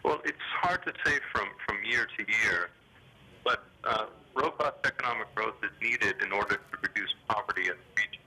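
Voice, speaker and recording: A man giving formal, hesitant economic commentary in a phone interview, speaking in a measured, official way over a telephone. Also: fairly low pitch, slightly rough. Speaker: development bank official being interviewed. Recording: sharp, grainy telephone line.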